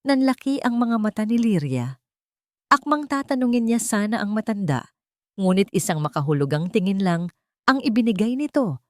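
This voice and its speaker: A woman's voice: medium pitch, big pitch swings, moderate pace, no echo, clean studio sound. A woman narrating a dramatic scripted love story as an audiobook.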